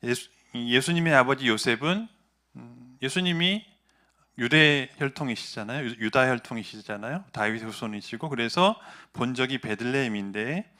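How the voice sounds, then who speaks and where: A middle-aged pastor, preaching in a loose, conversational way. His voice is bright and clear at a mid pitch, and he pauses often on small fillers as he asks his listeners questions. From Gyeongsan, North Gyeongsang, South Korea. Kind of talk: sermon.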